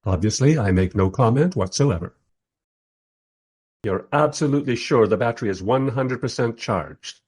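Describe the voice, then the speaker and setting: An actor playing a mild, polite time-travelling interviewer who narrates his story wryly in a radio play, with a husky voice. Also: medium pitch, animated, moderate pace, darkish tone. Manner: wry, polite. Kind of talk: first-person radio play narration and dialogue.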